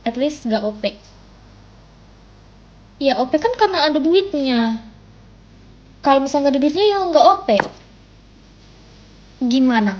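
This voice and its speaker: A woman's voice: sits high, expressive, a little slow, pauses often. A young woman, chatting casually and teasing on a livestream. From Indonesia. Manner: playful, teasing, self-mocking banter. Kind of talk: casual chat. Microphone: phone mic. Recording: dull lo-fi phone stream audio.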